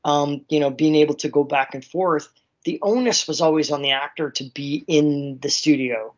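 A man's voice: fairly high pitch, moderate pace, dull audio. A middle-aged Canadian man chatting casually and effusively as an interview guest, rambling with frequent filler phrases. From small town near Vancouver, Canada.

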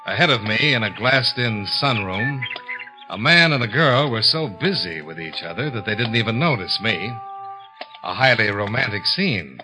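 A man's voice: on the low side, expressive, moderate pace, over music, natural voice. A man playing a cool, laconic insurance investigator in a 1950s radio detective show, dry and knowing. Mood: wry, narrating. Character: wry, cool, tough male insurance investigator. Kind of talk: 1950s radio detective acting and first-person narration.

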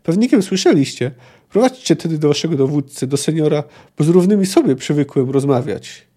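A man's voice: fairly high pitch, expressive, brisk, dark tone. Man reading as a pompous, boastful poet. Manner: haughty, declamatory. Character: pompous, boastful male poet, haughty, overconfident talker. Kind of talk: dramatic novel reading.

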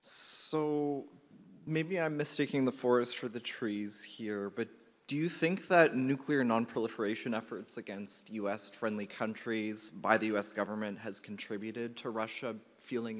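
Low-voiced man asking from the audience. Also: a little slow. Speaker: audience member asking a question. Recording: long hall reverb.

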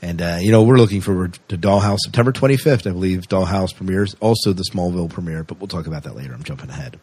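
A man's voice: low-pitched, fast, darkish tone, slightly rough, dry recording. A man hosting a podcast, chatting casually and enthusiastically through entertainment news. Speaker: podcast host.

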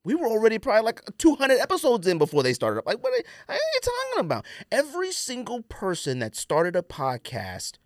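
A man's voice: high-pitched, huge pitch swings, a little fast, hardly any pauses, dry recording, clean studio sound. A man hosting a podcast in casual, slangy chat, blunt and emphatic, often repeating his phrases for effect. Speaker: podcast host.

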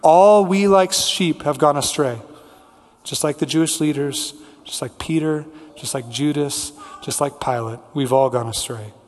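A man preaching a sermon as a pastor, speaking earnestly with deliberate repetition and lists. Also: on the high side. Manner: earnest, rhetorical repetition and listing. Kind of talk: sermon with prayer and scripture reading. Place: large reverberant church room. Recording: hall reverb, amplified church preaching.

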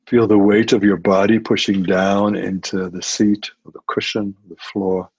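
A man leading a guided meditation as a teacher, giving gentle, unhurried instructions with long pauses. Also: fairly low pitch, little pitch movement, breathy edge, no echo. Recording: muffled, dull lo-fi audio.

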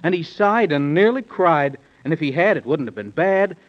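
A man's voice: fairly high pitch, lively intonation, normal speed. A man tells a bedtime tale in bouncing rhyme, his delivery singsong and full of swoops. The sound is dull and muffled, like an old 1930s radio broadcast. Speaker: narrator. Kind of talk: rhyming 1930s radio storytelling.

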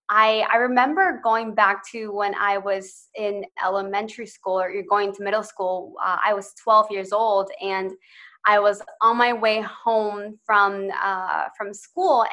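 A woman's voice: medium pitch, fairly bright tone. A woman in her twenties from Ecuador, a podcast guest telling her own story in an earnest, eager way with light laughs between phrases. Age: 20s. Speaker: podcast guest, social entrepreneur. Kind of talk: personal storytelling in interview.

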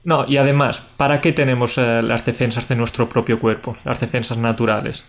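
A man's voice: medium pitch, flat delivery, brisk. Male co-host, sleepy, friendly, easygoing. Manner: friendly, easygoing, talks to listeners. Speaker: podcast co-host. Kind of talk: casual podcast chat for Spanish learners. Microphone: recorded over a video call.

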